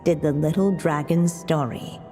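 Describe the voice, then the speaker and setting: A woman playing a tender, solemn mother who recites a fairy tale in measured storybook narration for a video game. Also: low voice, warm tone, soft background music, close to an everyday voice. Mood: tender, storytelling. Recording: long hall reverb.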